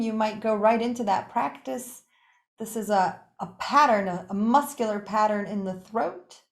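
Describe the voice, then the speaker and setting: Echoey woman guiding a meditation. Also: on the high side. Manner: unhurried, gently inviting, with pauses between short phrases. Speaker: yoga nidra meditation teacher. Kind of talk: guided meditation. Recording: echoey, roomy sound.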